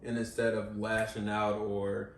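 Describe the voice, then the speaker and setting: A man's voice: on the low side, monotone, unhurried. A young man speaking thoughtfully in long reflective strings, seated on a couch in a living room, recorded by a far camera. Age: young adult. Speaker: guest in a discussion. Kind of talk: casual reflective chat. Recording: roomy sound from far off, faint hiss.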